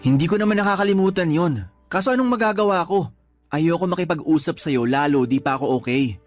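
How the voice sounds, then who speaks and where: Lalaking gumaganap na seloso at mainiting nobyo. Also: male voice, a little high, expressive, fairly quick, soft background music, lightly acted. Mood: irritated, sulky. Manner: accusing, defensive. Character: jealous, insecure, easily offended young boyfriend. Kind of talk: Filipino radio love drama acting.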